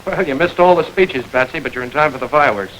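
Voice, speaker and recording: Man acting a steady gang boss, vintage radio. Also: medium pitch, fast talker, close to an everyday voice. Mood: wry, firm. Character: adult male gang boss, steady, protective, commanding. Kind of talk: old-time radio crime drama acting. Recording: noisy vintage radio recording.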